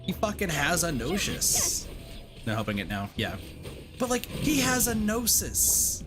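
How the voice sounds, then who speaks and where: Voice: medium pitch, wildly swooping pitch, measured pace. A game streamer chatting casually on a livestream in a blunt, sweary, rambling way, saying "like" a lot. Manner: rambling, repetitive, heavy use of "like". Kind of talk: casual livestream chat over gameplay. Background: video game music.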